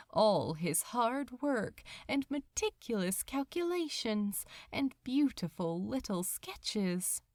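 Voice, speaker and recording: A woman reading fiction aloud as a narrator, with expressive, dramatic delivery. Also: fairly high pitch, no room sound, studio quality. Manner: slow, expressive, dramatic storytelling. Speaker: audiobook narrator.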